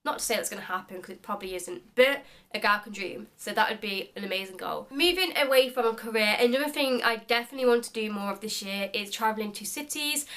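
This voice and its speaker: A young woman in her mid-twenties talks straight to her viewers in a relaxed, chatty vlog. Her delivery is upbeat and rambling, sprinkled with filler words. Her voice sits at an ordinary middle pitch for a woman. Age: 20s. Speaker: beauty vlogger.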